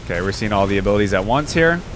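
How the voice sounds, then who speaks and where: A man's voice: lowish voice, animated, rapid-fire, a little hiss or hum. A young man giving casual reaction commentary as a video host, musing aloud in an informal way.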